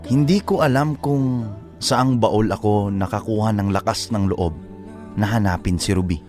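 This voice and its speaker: A man's voice: fairly low pitch, moderate pace, darkish tone, faint music behind, lightly acted. A man narrating as a lovesick, determined letter writer in a radio drama, reflective and confiding. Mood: wistful, determined. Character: lovesick male letter writer, determined, confessing his search.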